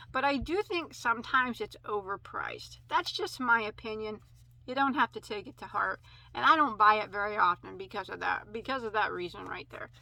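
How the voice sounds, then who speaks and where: Bright-voiced Midwestern woman chatting about yarn. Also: a little high, gravelly, poor audio. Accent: American Midwest. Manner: chatty, rambling, many fillers and self-corrections. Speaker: crochet channel host. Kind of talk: casual yarn haul review.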